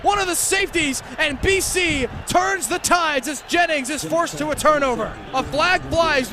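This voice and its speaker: A young man calling live American football on radio as a student broadcaster, in clipped phrases that build into excited calls. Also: very high-pitched. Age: young adult. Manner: clipped, rapid phrases rising into excited shouts. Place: football stadium broadcast position. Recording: clear outdoor stadium noise behind.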